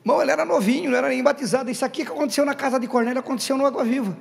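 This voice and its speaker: A man preaching a Pentecostal sermon to his congregation. He talks emphatically, calls out questions to the room and builds to loud stressed bursts. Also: very high-pitched, racing, gravelly. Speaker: pastor. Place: church hall. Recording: reverberant hall with faint background music.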